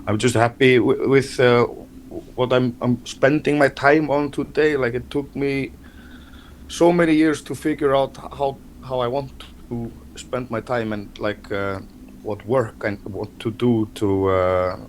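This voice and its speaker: Icelandic man as interview guest, gentle and hesitant, keeps restarting words, mid-pitched voice, faint noise behind. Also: steady tone, unhurried. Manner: hesitant, repeats words often, soft-spoken. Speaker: band member, interview guest. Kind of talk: casual interview talk.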